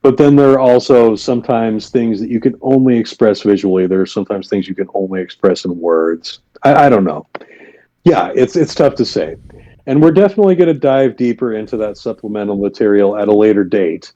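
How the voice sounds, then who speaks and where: A man's voice: lowish voice, brisk, dark tone. A man co-hosting a podcast, chatting casually and thoughtfully with many fillers. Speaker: podcast co-host. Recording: dull lo-fi sound.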